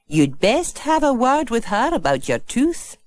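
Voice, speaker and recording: Actress doing a fussing, kindly village postmistress, very swoopy. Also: female voice, fairly high pitch, sing-song, unhurried. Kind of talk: children's storybook audiobook acting.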